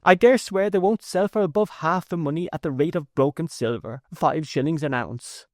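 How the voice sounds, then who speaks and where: Male reader doing a high-pitched exclaiming housewife, quick to scold, lively sing-song. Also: dry recording, studio quality, lightly acted. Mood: indignant. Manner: raised, exclaiming. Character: shrill scolding middle-aged mother, quick to anger.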